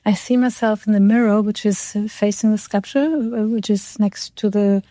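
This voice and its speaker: A woman's voice: medium pitch, fairly even intonation, moderate pace, warm tone, dry recording. A woman who is not a native English speaker, talking reflectively about art and searching for words as they go. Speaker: artist in conversation.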